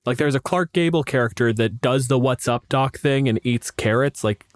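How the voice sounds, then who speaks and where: A man's voice: medium pitch, moderate pace, no room sound. Wry male podcast host, very dry sound. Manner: wry, profane, explanatory. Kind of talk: casual chat.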